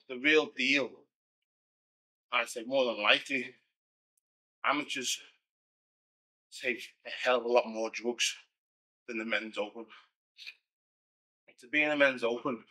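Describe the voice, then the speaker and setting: A man's voice: mid-range voice, pushed and bright, low-quality recording. A man with a Scouse accent, a pro bodybuilder answering viewer questions in a blunt, casual monologue. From Liverpool, England. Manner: blunt, conversational, frequent "you know" and restarts.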